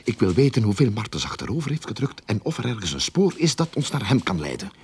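An actor playing a scheming, bossy boss in a radio play, blustering, wheedling and evasive. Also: male voice, lowish voice, sing-song, quick pace, darkish tone, slight background noise. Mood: scheming, reassuring.

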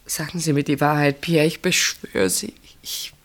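An actress in a German radio play, playing an anxious woman who fears for her sister and speaks in urgent, breathy pleas. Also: sits low, fairly even intonation, normal speed. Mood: desperate pleading.

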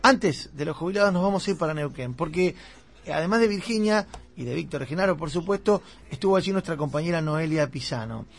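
A man's voice: a little high, expressive, brisk, vocal fry. A man with an Argentine accent, hosting a radio magazine in casual, opinionated chat and laughing often. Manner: laughs often, indignant asides. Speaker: radio host.

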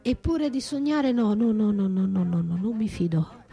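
An actress playing a battered wife left for dead, anguished yet defiant, in a theatrical, poetic radio monologue with drawn-out, breathy delivery. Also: female voice, medium pitch, expressive, warm tone, faint music behind. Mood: wary, resolute. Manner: theatrical, drawn-out, breathy, shifting from whispers to cries. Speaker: stage actress and writer performing a solo radio play.

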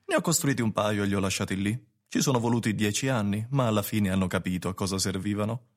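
A man's voice: lowish voice, fast talker, lightly acted. A man playing a cool, eccentric recluse of the woods in an Italian radio drama, explaining with detachment. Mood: detached.

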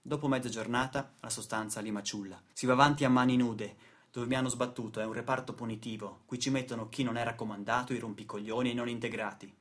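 A man's voice: medium pitch, fairly even intonation, quick pace, a bit bright, close to an everyday voice. A man acting a weary, indignant factory worker in a dramatic radio play monologue, with stammered repetitions. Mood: bleak, weary. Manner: dramatic, stammering repetitions, swings from muttering to outbursts. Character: weary, indignant male factory worker telling his grim story.

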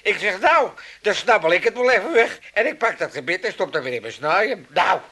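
A man's voice: high-pitched, lively intonation, fairly quick, bright, forceful tone, heavily acted. A man playing a cheeky, self-pitying elderly father with an Amsterdam accent in a comedy radio play, rambling and stammering in a folksy, blustering way. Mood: gleefully mischievous. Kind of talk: 1980s Dutch comedy radio play acting.

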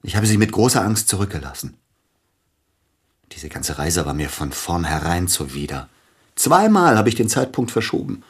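Deep, husky, grieving male pianist. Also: very animated, exaggerated voice. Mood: rueful, bitter. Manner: probing questions, haunted, formal and halting. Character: grieving celebrated male pianist, widowed, insistent and guilt-ridden. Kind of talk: German radio drama acting.

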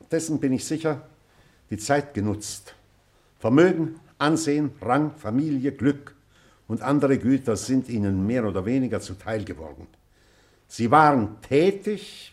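A male actor as an elderly retired schoolmaster in a radio play. He addresses his class very deliberately, in a medium-pitched voice. His delivery is stern, solemn and oratorical, with measured authority. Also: darkish tone, natural voice. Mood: formal, oratorical. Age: older.

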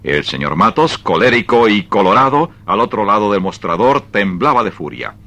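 A man's voice: on the low side, very animated, moderate pace, pushed and bright, no echo. A man narrating a radio play in a scripted, dramatic storytelling style.

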